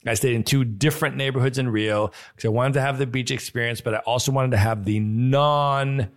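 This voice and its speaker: A man's voice: medium pitch, steady tone, a little fast. A man hosting a travel podcast, talking with eager, upbeat energy as he asks his guest questions. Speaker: podcast host. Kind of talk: casual podcast interview.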